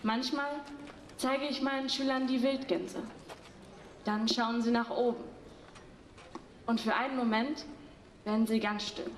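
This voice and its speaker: A woman acting in an amateur stage play, reciting in a soft, reflective way. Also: fairly high pitch, steady tone, measured pace, pauses often, roomy sound, slight background noise.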